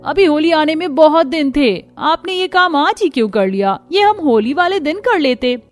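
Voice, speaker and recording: A woman's voice: sits high, expressive, normal speed, pitched above the performer's own voice. A woman voicing a put-upon young daughter-in-law who complains in a cartoon family story. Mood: complaining. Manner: complaining, whiny. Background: music.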